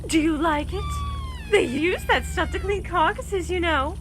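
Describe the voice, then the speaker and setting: A cruel schoolteacher mare, voiced by a man speaks with breezy cheer about grisly things. Her voice sits high and swings up and down in a sing-song way. A steady hiss of noise runs under the recording. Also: unhurried. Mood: gloating. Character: cruel, calmly sadistic female schoolteacher pony.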